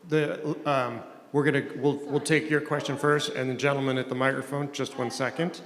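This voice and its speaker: A man speaking on a conference panel, talking in halting phrases with many restarts. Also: medium pitch, little pitch movement, a bit bright. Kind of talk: panel discussion. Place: conference room. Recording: echoey hall, faint noise.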